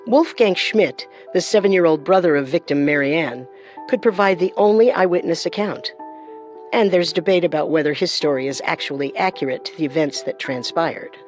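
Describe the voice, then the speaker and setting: A woman's voice: low voice, normal speed, soft background music. A woman co-hosting a true crime show, delivering scripted narration with dramatic phrasing.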